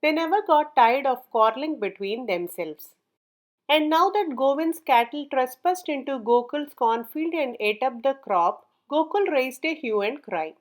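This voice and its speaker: A woman narrating a children's story from a script in a measured, clearly enunciated read-aloud manner. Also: sits high.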